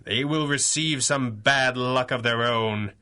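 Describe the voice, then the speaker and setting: A dashing masked crimefighter from a 1930s radio serial. His voice is bright and forceful at a middling pitch. He speaks formally and dramatically, with stern resolve. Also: slow, smooth. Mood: grimly righteous. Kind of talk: 1930s radio adventure acting.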